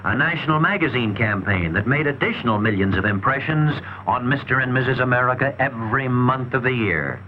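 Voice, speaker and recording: A man's voice: medium pitch, animated, fairly quick, few pauses, muffled recording, a little hiss or hum. A man delivering scripted 1940s promotional film narration in an emphatic, salesmanlike, rhetorical manner, on an old film soundtrack.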